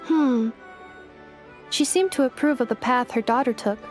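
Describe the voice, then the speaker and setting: High-voiced, formal female robot. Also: darkish tone, faint music behind. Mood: polite, inquiring. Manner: formal, measured, clipped phrasing. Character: polite female robot with glitchy cognition, earnestly curious. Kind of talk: video game voice acting.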